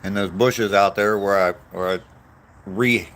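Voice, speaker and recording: A man's voice: lowish voice, steady tone, a little slow, fairly bright tone. A man in his sixties chatting casually on a walk, answering viewer comments in a meandering, self-deprecating way. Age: 60s. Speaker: vlogger reading live chat on a walk. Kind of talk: casual rambling chat. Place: outdoors on a walk.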